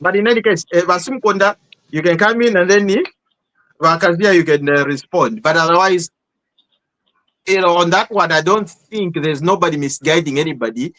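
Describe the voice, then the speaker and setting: A man's voice: high-pitched, moderate pace, fairly bright tone, rough recording. A Zambian man hosting a heated podcast panel debate, talking in bursts with repeated words and local phrases mixed into his English. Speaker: podcast host moderating a panel.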